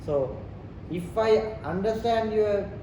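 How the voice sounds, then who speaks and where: Echoey, high-voiced Sri Lankan man explaining. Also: slow pace. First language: not English. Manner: repeats phrases, explains step by step in simple non-native English. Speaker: interviewee explaining leadership and culture. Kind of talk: informal explanatory teaching talk. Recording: roomy, distant mic, noisy background.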